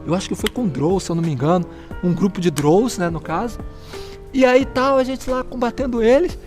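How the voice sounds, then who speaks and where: A Brazilian man with a fairly high voice tells old game stories in a meandering, unhurried way. He often searches for his words and chuckles along the way, with faint music playing behind him in a stairwell. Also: darkish tone. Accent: Brazilian Portuguese. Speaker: guest, fellow tabletop player. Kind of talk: casual storytelling. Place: stairwell of an apartment building.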